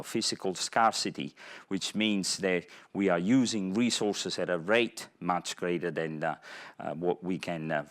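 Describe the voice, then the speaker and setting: A man from Italy speaks with a clear Italian accent, his voice sitting a little on the low side. He gives a public lecture as a professor, moving at a halting pace and pausing often to search for his words. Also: a bit bright. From Italy (Naples). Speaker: university professor and researcher.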